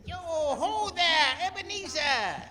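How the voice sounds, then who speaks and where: A man's voice: very high voice, sing-song, slow pace, crowd noise, heavily acted. A man playing a jolly, generous old warehouse master in a radio play. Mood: jovial, hearty. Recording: reverberant hall, music underneath.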